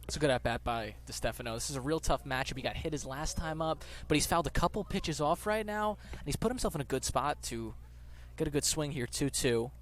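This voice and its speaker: A man's voice: fairly high pitch, expressive, a little fast. A man giving live color commentary on a baseball game.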